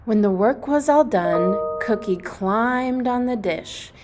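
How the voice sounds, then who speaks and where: A woman reading a storybook aloud to children, expressively and deliberately, phrase by phrase. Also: a little high, animated, music in the background.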